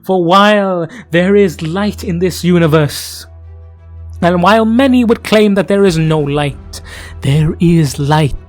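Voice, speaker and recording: A man delivering dramatic scripted lore narration, grave and portentous, in archaic oratorical phrasing with dramatic pauses. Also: high voice. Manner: grave, theatrical, portentous, addressing the listener as traveler. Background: background music.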